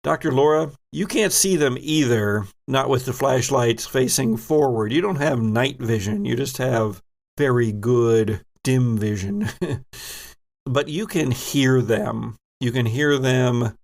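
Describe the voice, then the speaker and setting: A man's voice: mid-range voice, takes it slowly, gravelly. A man narrating a tabletop role-playing game as game master, addressing the players with dramatic storytelling.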